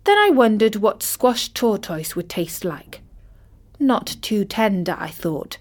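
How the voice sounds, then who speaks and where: A wry old storybook vulture, played by a woman, telling a fable at a slow pace. The delivery is measured and dramatic, with a knowing air. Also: mid-range voice, sing-song. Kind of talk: scripted storytelling in character.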